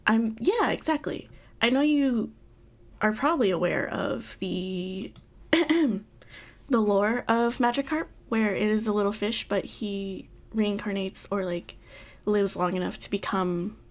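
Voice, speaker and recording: A woman's voice: mid-range voice, unhurried. A young woman chatting casually on a livestream, giggling often and rambling through restarts. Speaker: streamer. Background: faint background music.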